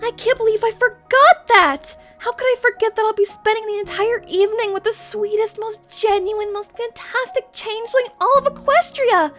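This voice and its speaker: A woman voicing an excitable, anxious young female alicorn pony in a gushing, rambling cartoon audio drama style, over music. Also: very high-pitched, normal speed, few pauses. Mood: panicked. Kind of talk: animated audio drama acting.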